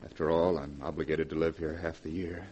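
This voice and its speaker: A deep-voiced, troubled young husband. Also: male voice, little pitch movement, fairly quick, a little mellow, muffled recording. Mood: agreeable. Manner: earnest, hesitant, dramatic. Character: devoted, troubled young painter husband, easily swayed. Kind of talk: 1940s radio drama acting. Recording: faintly noisy 1940s radio recording.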